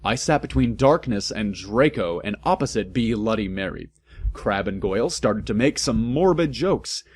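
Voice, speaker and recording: A man's voice: fairly low pitch, lively intonation, darkish tone, slight background noise. A man giving a comic, mock-serious dramatic reading of badly written fan fiction, keeping its mistakes and adding asides. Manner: mock-serious, deliberately reads out misspellings and mistakes.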